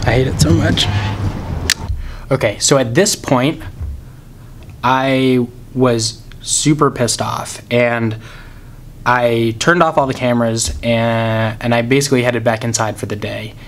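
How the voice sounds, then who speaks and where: A man's voice: mid-range voice, a little slow. A young man in his twenties talking casually while fishing from a waterfront dock, filmed on an action camera. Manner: excited, frustrated. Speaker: fishing vlogger. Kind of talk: casual vlog narration. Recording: outdoor camera with ambient noise.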